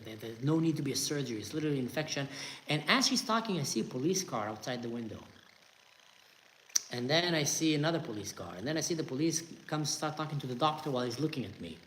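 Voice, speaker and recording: A man's voice: medium pitch, a little hiss or hum, rough recording. Israeli man telling a tense story. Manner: animated, acts out quoted voices, tense retelling. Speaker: storyteller host. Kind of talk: casual spoken storytelling.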